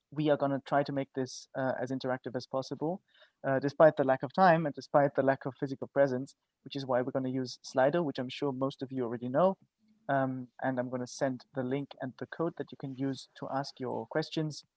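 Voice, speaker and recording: A man opening a virtual event as moderator, courteous and a little halting, with frequent ums. The sound is dry and somewhat muffled, typical of a video call. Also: mid-range voice, darkish tone, dry recording. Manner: polite, hesitant, many ums. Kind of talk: welcoming an online meeting.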